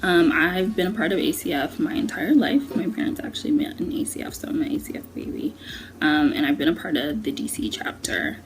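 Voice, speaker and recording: A young adult woman from Maryland, the secretary, speaking in a measured, earnest way. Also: sits low, sing-song, whispered, poor audio. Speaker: fellowship secretary. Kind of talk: reading a statement and personal testimonial. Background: soft background music.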